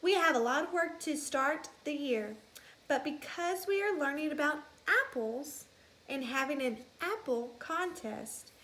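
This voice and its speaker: A woman voicing a female mouse schoolteacher in a storybook, addressing the class in a projected, deliberate way. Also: sits high, expressive, fairly bright tone, pitched above the performer's own voice. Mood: firm, announcing.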